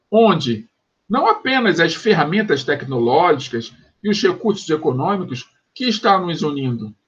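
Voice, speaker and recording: A Brazilian man delivers a recorded sociology lesson from home, explaining ideas patiently and stopping to check that his students follow. His voice sits high and moves a lot in pitch, with a husky edge. The recording is dull and lo-fi. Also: fairly bright tone. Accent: Brazilian Portuguese. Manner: explanatory, checks understanding with quick asides. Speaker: sociology teacher. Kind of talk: recorded online class lecture.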